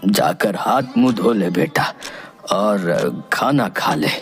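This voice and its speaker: A man voicing a sick, kindly old grandfather in a cartoon, with a weak, coughing voice and slow, affectionate, advising delivery. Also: on the low side, animated, pitched below the performer's own voice. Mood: tender, weary. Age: older. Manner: affectionate, unhurried, gently advising.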